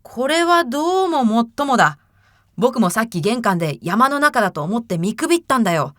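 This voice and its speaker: A woman voicing pompous, gullible young rich gentlemen from the city in a storybook reading, with self-assured, old-fashioned phrasing. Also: on the high side, big pitch swings, a little fast, dry recording, studio quality. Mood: impressed. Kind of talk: theatrical fairy-tale character reading.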